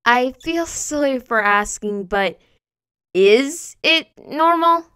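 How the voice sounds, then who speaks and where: A woman as a bright, high-voiced earnest young girl. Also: animated, takes it slowly, clean tone, exaggerated voice. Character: earnest, worried, kind young girl trainer.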